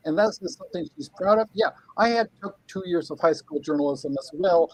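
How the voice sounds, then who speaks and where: A man's voice: sits high, creaky, a little mellow, slightly rough, poor audio. A middle-aged man, a guest writer on a video call, ranting angrily with swearing, repeated words and stumbling restarts. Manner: angry, profane, repeats himself, stumbling restarts. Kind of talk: angry livestream rant.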